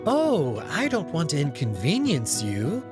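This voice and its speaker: A shy, small male animal servant, voiced by a man for a visual novel. The voice sits raised in pitch, gentle and courteous with a hesitant air, and music plays behind it. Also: huge pitch swings, unhurried. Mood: timid concern. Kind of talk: visual novel character voicing.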